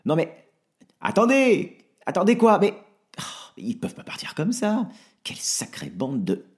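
A man voicing a hapless, blustering husband who stammers and protests loudly. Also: medium pitch, very animated, measured pace, pitched above the performer's own voice. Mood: outraged.

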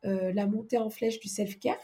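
A woman's voice: mid-range voice, fairly even intonation, normal speed, mellow. A woman speaking casually as a podcast guest, explaining things informally with examples. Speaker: podcast guest, organisation coach. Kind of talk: casual interview conversation.

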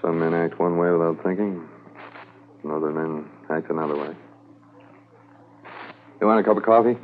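An actor in a 1950s radio drama playing a stern, terse, quietly bitter Mountie with a prisoner. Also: male voice, low-pitched, steady tone, moderate pace, lightly acted. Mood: restrained bitterness. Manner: terse, restrained. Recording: lo-fi 1950s radio transcription, faint hiss.

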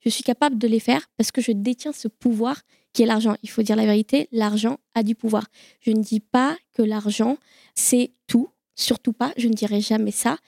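A woman of Indian origin speaking on a live panel, earnest and halting, with many fillers. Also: fairly high pitch, steady tone, vocal fry, warm tone, dry recording, studio quality.

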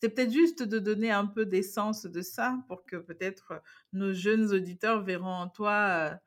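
A woman hosting her podcast, speaking in a warm, effusive way that comes in quick bursts. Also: medium pitch, steady tone. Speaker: podcast host. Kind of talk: conversational interview.

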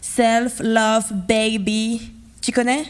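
An actress playing a cheeky, upbeat activist full of schemes in a French audio drama, with a playful, theatrical and slangy manner. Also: female voice, a little high, flat delivery, very slow, background noise. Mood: reassuring, playful. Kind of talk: French audio fiction acting.

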